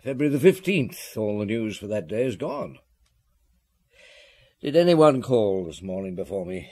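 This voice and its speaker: A man's voice: lowish voice, sing-song, normal speed, dark tone. A man acting as a shrewd middle-aged chief inspector in a radio drama, questioning tersely with thoughtful grunts. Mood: puzzled, intent.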